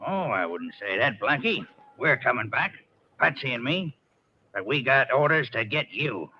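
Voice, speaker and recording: A bossy, blunt street hoodlum acted by a man in a 1940s radio crime drama. His tough talk is medium in pitch. The old recording is dull and slightly noisy. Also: animated, moderate pace, close to an everyday voice. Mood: tough, gloating.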